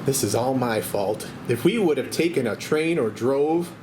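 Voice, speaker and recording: A man's voice: mid-range voice, lively intonation, moderate pace. A man reading a character's dialogue at a screenplay table read. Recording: noisy room recording.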